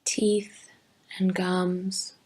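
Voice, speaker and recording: A woman teaching yoga nidra, giving gentle, deliberate guided meditation instructions with long pauses. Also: lowish voice, level tone, slightly rough, poor audio. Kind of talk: slow guided meditation narration.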